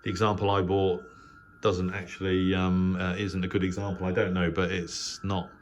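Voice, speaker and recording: A man's voice: low-pitched, flat delivery, moderate pace. A middle-aged English man giving a casual, unscripted narrated tour as a hobby host, speaking in a hesitant, rambling way. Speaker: shortwave radio hobbyist and channel host. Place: small spare bedroom radio shack, indoors. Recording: clearly noisy home room recording.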